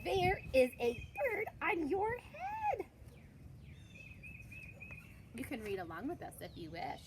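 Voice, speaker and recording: A woman reading a picture book aloud to children, expressive and guiding a young reader along. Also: high-pitched, takes it slowly, noisy background.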